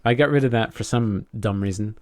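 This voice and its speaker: A man co-hosting a podcast, telling a personal anecdote in casual, halting speech with repeated words and an enthusiastic manner. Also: fairly low pitch, dry recording. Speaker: podcast co-host.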